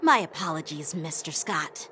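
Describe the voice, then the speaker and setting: A woman's voice: sits low, expressive, normal speed, sharp tone, slight background noise. A woman voicing a brave, earnest young girl knight in a video game, spirited and firm. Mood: firm, polite.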